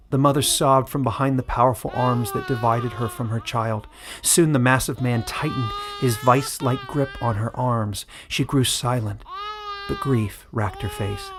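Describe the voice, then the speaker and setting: Man narrating a dramatic fantasy tale. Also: mid-range voice. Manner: measured, literary storytelling. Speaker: author and narrator. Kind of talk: dramatic scripted story narration.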